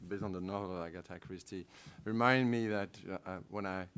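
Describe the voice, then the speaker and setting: A middle-aged man with a French-speaking Belgian accent, a trade negotiator giving halting panel remarks full of ums and restarts. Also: sits low, steady tone, a bit bright, slight background noise.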